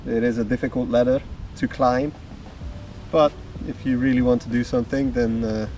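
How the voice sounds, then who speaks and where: A man, talking outdoors in the woods as a drumming vlogger, easygoing and unhurried. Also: mid-range voice, steady tone, darkish tone, a little hiss or hum. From Slovakia. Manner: slow, easygoing storytelling with small pauses. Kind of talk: casual self-introduction to camera. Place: outdoors in woodland. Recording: distant mic with faint music behind.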